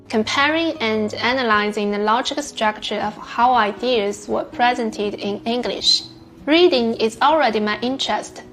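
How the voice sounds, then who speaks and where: Female, measured scripted read, slightly raised pitch, light music bed. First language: Chinese. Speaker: English learner sharing study tips. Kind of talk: scripted narration.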